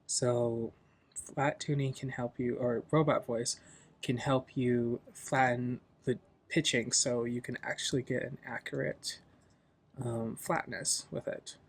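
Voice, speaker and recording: A man hosting a software tutorial in a casual, loosely explanatory, informal way. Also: medium pitch, fairly even intonation, slow pace, vocal fry. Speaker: tutorial host.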